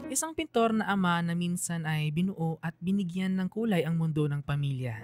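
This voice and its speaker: A woman radio storyteller reading a scripted, sentimental narration. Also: lowish voice. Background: piano music under the voice.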